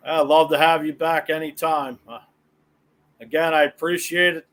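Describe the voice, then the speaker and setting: A man's voice: a little high, level tone, moderate pace, fairly bright tone. An American man hosting a sports podcast in a casual, chatty style. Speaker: podcast host.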